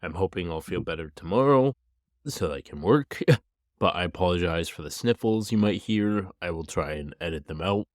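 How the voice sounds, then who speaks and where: A man's voice: low voice, expressive, moderate pace, no echo, clean studio sound. A man hosting a true-crime podcast in a chatty, apologetic way while sick with a stuffy, nasal voice. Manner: chatty and apologetic, with some restarts. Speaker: podcast host.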